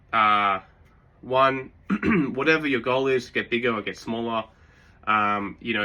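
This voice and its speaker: A man with a sore throat giving relaxed, rambling fitness advice, full of fillers and restarts. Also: fairly low pitch. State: sore throat, occasional coughs. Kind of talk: casual advice talk.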